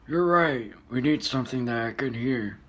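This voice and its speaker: A man's voice: medium pitch, animated, moderate pace, natural voice. A man voicing a grumbling, hesitant old grandpa in a folksy radio advert skit. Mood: grumbling, doubtful.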